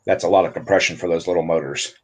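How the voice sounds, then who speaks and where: A man, a guest on a livestream, explaining engine work in a relaxed way with lots of fillers. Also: deep voice, quick pace. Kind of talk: casual technical chat.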